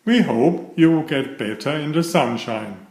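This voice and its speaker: A man reading the part of a dignified, kindly gentleman in charge of a railway in a children's storybook. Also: a little high, expressive, a little slow, gravelly, echoey room. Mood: kindly, formal.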